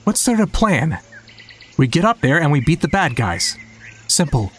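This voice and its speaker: A man's voice: on the high side, sing-song, normal speed, fairly bright tone, slight background noise. A man playing a brash, impatient young squad captain in an audio drama, speaking in a curt, commanding way.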